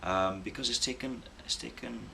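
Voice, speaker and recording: A man's voice: medium pitch, normal speed, creaky, bright, forceful tone. A man who works as a Welsh language tutor, giving thoughtful interview answers with frequent ums and restarts. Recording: noisy background hiss.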